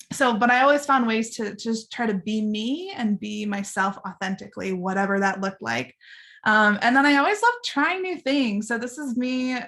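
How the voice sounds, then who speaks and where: Chatty upbeat woman, voice on the higher side, laughs a lot. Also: a bit bright. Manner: bubbly and chatty, laughs often. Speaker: learning designer. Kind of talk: casual class chat with students.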